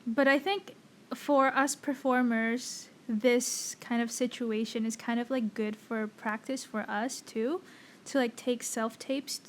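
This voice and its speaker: A woman's voice: a little high, fairly even intonation, slow, a little hiss or hum. A young woman co-hosting a casual podcast chat, her voice a bit worn from singing, who says "like" a lot. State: losing her voice. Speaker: podcast co-host.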